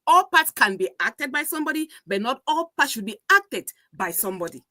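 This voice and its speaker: A woman's voice: high voice, very animated, fairly quick, bright, forceful tone, no room sound. A woman with broadcasting training chatting casually on a podcast. She is opinionated and slips into pidgin. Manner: opinionated, mixes pidgin into her English. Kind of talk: casual chat.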